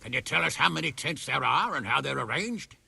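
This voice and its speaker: A man's voice: medium pitch, expressive, fairly quick, gravelly, pushed and bright, breathy edge, no room sound, full character voice. A man playing a blustering, battle-hungry medieval nobleman with a hearty, swaggering manner in a video game. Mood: brisk. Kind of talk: video game cutscene acting.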